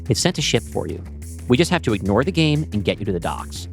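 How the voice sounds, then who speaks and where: A man's voice: on the low side, sing-song, fast talker, vocal fry, soft background music, pitched below the performer's own voice. A man voicing a wry, bossy young woman in an audio play. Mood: urgent, terse. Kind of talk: audio play table read.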